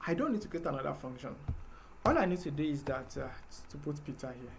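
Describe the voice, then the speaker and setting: Guy teaching a coding class, slightly high voice, patient, bit of room hum. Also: sing-song, quick pace, creaky. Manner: patient, explains step by step with fillers. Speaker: programming instructor.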